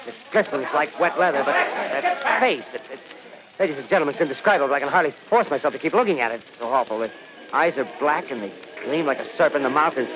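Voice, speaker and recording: A frantic field reporter, played by a man, stammers through a 1930s radio play, his fairly high voice climbing as the terror grows. The old broadcast sounds dull and hissy. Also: close to an everyday voice. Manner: breathless, halting, rising to frantic shouting. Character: panicked male radio field reporter at a disaster. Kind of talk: 1930s radio drama acting.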